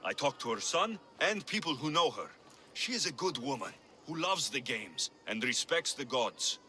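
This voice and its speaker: An actor playing a young, skeptical Greek mercenary hero in a video game, wry and matter-of-fact. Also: male voice, medium pitch, sing-song, moderate pace, bright, forceful tone. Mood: earnest. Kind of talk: video game dialogue acting.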